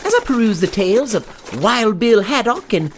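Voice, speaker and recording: High, fussy, eager dandy fish. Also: male voice, sing-song, moderate pace, a little hiss or hum. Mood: eager, earnest. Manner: wordy, formal, fussy diction. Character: eager, naive, well-spoken dandy fish from New York. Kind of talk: comic western audio drama acting.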